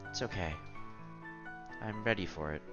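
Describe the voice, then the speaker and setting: A man's voice: deep voice, moderate pace, muffled recording. A man streaming a visual novel, reading the text aloud with casual commentary and stumbling over words now and then. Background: game music.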